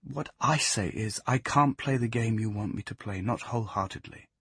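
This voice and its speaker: Man voicing an earnest young woman, raised pitch. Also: animated, normal speed, slightly rough, no room sound. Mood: grave, emphatic. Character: earnest young English woman, brisk, emphatic, tender.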